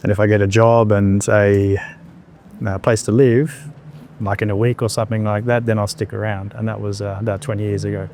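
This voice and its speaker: A man, answering interview questions in a reflective, unhurried way with pauses and fillers. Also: on the low side, little pitch movement, darkish tone, slight background noise. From Australia. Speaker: entrepreneur guest.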